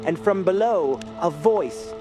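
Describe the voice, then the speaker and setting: Dream-haunted young male artist, earnest and unhurried, florid, for audio horror drama with a loud music bed. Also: high-pitched, animated. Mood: haunted awe. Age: young adult.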